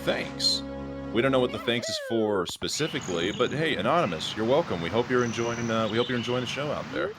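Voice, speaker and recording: A man's voice: medium pitch, animated, fairly quick, over music. A man commentating a live speedrun stream, joking and rambling in asides.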